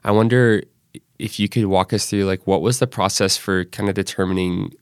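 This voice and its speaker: A man hosting a podcast interview, reading a measured scripted intro and then asking conversational questions. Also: deep voice, fairly even intonation, clean tone, dry recording, studio quality. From Northwest Arkansas. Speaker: podcast host.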